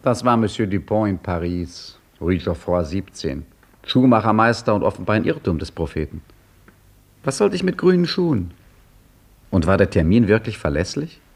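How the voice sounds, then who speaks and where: Earnest Egyptian seeker, 1950s radio play, low voice, husky. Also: male voice, very animated, normal speed, a little mellow, soft background music. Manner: earnest, insistent, wry narration. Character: earnest Egyptian man seeking Allah's hundredth name. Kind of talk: 1950s radio drama acting.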